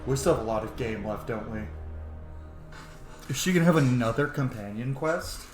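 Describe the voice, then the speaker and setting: A man's voice: mid-range voice, animated, moderate pace, faint music behind. A man giving casual let's play commentary as host of a gaming channel. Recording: roomy echo, faint background noise.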